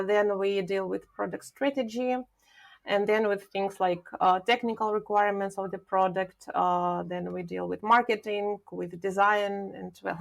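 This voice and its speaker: A woman speaks as a guest on a podcast, explaining her work in a measured, thoughtful way. Her voice carries a noticeable vocal fry, and she often pauses with filler sounds while searching for words. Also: medium pitch. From Ukraine. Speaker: guest, product manager. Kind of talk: podcast interview.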